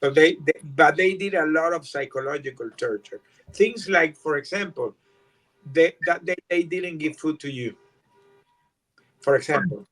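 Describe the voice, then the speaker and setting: An older Venezuelan man who grew up speaking Spanish, answering interview questions in English in a halting way, with many repeated words and restarts. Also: a little high, fairly bright tone, faint music behind, low-quality recording. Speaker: guest.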